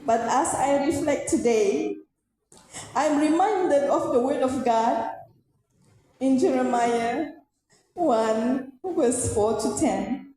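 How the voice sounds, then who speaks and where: Slow-speaking woman in a very echoey hall. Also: high voice, dark tone. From Malaysia. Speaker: graduate giving a thanksgiving speech. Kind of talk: formal public testimony speech. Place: large indoor hall at a ceremony. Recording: echoey hall, long reverb, music behind. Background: music.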